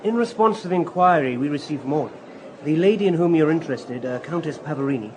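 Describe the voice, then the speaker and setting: A male actor as an efficient police inspector in a radio play, over clear background ambience. His voice is fairly high and he talks quickly, respectful and businesslike as he reports. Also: lively intonation, darkish tone, slight background noise, lightly acted. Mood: efficient, helpful. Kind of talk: radio drama acting.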